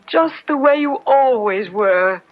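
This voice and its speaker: A woman's voice: high voice, lively intonation, takes it slowly. An actress playing an anxious, devoted woman in an old-time radio drama, earnest and solicitous. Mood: relieved, reassuring. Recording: muffled old radio broadcast with music.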